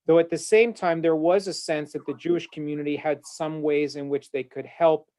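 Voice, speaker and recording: A man's voice: a little high, steady tone, normal speed, a little mellow. A man speaking as a rabbi on a discussion panel, earnest and eloquent with frequent filler uhs, talking from his office over a video call. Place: home office.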